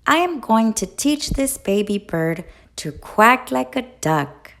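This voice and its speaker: A woman's voice: fairly high pitch, big pitch swings, slow, creaky, fairly bright tone, heavily acted. Woman doing a boastful, fussy male duck.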